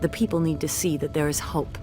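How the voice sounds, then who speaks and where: A woman playing a serious, steady resistance leader, giving earnest, controlled mission briefings in a video game. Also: low voice, brisk, soft background music, lightly acted. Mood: earnest, urgent.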